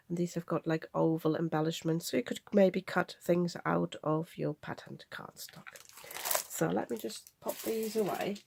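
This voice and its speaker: Nasal, gravelly-voiced woman with a head cold. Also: mid-range voice. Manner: chatty, practical, explains measurements step by step. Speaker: craft channel host. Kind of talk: card-making tutorial. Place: home craft table.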